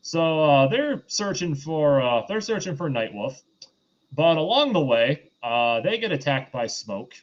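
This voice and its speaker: A man hosting a film review show in casual chat, talking in a halting, loosely conversational way and often backtracking. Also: a little high, big pitch swings, muffled recording.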